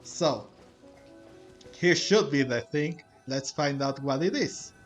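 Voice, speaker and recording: A man giving casual game commentary as he plays, rambling and halting as he talks. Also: medium pitch, soft background music. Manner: rambling, halting, frequent chuckles. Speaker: gaming streamer playing a story quest.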